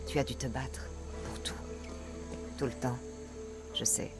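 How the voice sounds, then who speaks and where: An actress playing a tough, self-assured female mercenary in a video game dub, speaking in a measured, firm way. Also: very deep voice, level tone, loud music behind the voice. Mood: soft, sincere. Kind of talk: dubbed video game dialogue.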